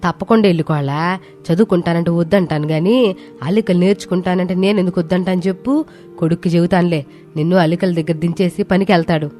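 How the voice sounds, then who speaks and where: A woman's voice: fairly low pitch, steady tone, fast, dark tone, soft background music, natural voice. A woman voicing a stern, scolding middle-aged village mother-in-law with rustic speech in a cartoon moral story. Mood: approving, firm.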